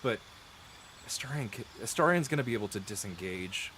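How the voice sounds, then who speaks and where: A man's voice: on the low side, lively intonation, brisk, fairly bright tone, a little hiss or hum. Coughing man with a dry throat. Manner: chatty, rambling, restarts and self-corrections, thinks aloud. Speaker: gameplay streamer. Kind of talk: explaining game mechanics while playing. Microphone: close desk mic.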